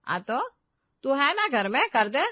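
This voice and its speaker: A woman's voice: sits high, big pitch swings, unhurried, no echo, muffled recording, pitched above the performer's own voice. A woman voicing a harsh, bossy older mother-in-law with a commanding manner in a family drama story. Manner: scolding, commanding.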